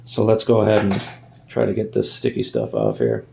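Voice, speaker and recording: A man's voice: on the low side, big pitch swings, moderate pace, vocal fry, warm tone, slight background noise. A man giving a casual hands-on tutorial in a folksy way, thinking aloud as he works, recorded on a phone. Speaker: amateur DIY video maker.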